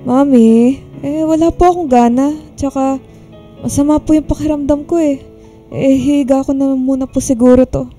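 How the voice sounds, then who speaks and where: A woman's voice: sits high, steady tone, normal speed, dark tone, faint music behind, lightly acted. A woman playing a timid, polite young adult Filipino daughter in a radio drama, speaking hesitantly and evasively. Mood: meek, listless.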